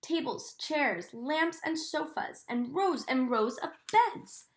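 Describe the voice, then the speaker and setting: A woman teacher reading a storybook aloud expressively, in a deliberate, instructive manner. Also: high voice, lively intonation. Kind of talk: expressive storybook read-aloud with comprehension questions.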